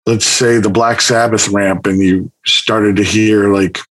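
Man, podcast host, casual, enthusiastic, rambling, lower pitch. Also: steady tone, unhurried, fairly bright tone, low-quality recording. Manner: enthusiastic, rambling, many fillers.

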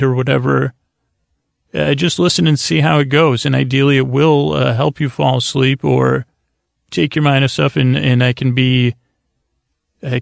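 A man hosting a sleep podcast in a meandering, halting monologue, gently reassuring and self-deprecating as he digresses and trails off. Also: medium pitch, vocal fry, no echo, clean studio sound. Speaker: podcast host.

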